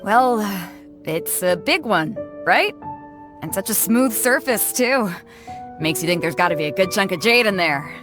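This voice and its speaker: A woman's voice: mid-range voice, lively intonation, a little slow, over music, lightly acted. An actress playing a blunt, easygoing woman sea captain in a video game, speaking in a breezy, colloquial way. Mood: blunt, casual. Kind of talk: scripted video game dialogue.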